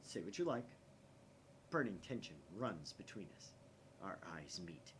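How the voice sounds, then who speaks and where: Visual novel lead, male reader: paranoid young mad scientist, theatrical, animated delivery, slightly noisy home recording. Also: sits low, a little slow, heavily acted. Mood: wry inner monologue. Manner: theatrical, suspicious, dramatic whispers and outbursts.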